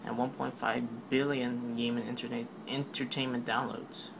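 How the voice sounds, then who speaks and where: Casual male narrator, monotone, rambling, noisy home voice-over. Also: mid-range voice, moderate pace, gravelly. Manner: offhand, rambling, filler-heavy. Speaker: tech video narrator. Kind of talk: casual voice-over recap of a keynote.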